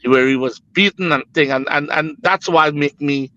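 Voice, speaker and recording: A man's voice: fairly high pitch, moderate pace, bright, forceful tone, muffled recording. A middle-aged man with a Guyanese accent giving his political opinion as a panel guest, earnest and repetitive, with emphatic phrasing. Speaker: business executive, panel guest.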